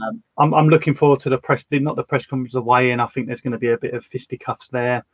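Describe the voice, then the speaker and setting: A man's voice: mid-range voice, fairly even intonation, fast, dry recording, highs cut off. A man co-hosting a podcast, chatting in an easygoing, jokey way. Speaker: podcast co-host. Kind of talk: casual chat.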